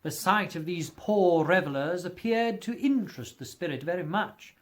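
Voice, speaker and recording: A male audiobook narrator with a high voice for a man. He reads a scripted story theatrically, with expressive phrasing and widely swinging pitch. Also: normal speed.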